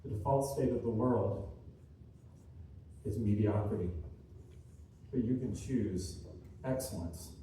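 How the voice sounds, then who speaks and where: Echoey, distant, very mellow male motivational speaker. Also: fairly low pitch. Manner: earnest, deliberate, short emphatic phrases with rhetorical repetition. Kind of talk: inspirational keynote speech to a live room. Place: large indoor room at a seminar. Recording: distant mic, echoey room, faint noise.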